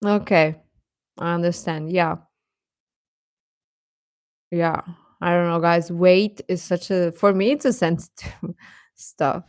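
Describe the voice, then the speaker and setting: A woman's voice: fairly low pitch, steady tone, slow pace, long pauses, a little mellow, dry recording, clean studio sound. A woman chatting casually as a livestream host, talking directly to viewers and often repeating her phrases.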